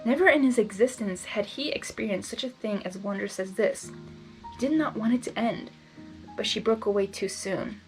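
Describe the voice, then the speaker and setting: A woman's voice: medium pitch, normal speed, poor audio. A woman reading fan fiction aloud and breaking off to give her own reactions, often stumbling over words and interrupting herself. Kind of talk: blind reading with casual commentary. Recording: background music under the voice.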